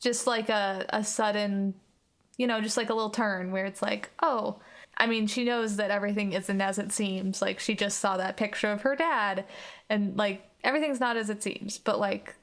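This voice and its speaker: A woman chats as a player in a tabletop game. Her voice sits at an average female pitch and her delivery is casual and conversational. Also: steady tone, normal speed, fairly bright tone. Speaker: player in an actual play podcast.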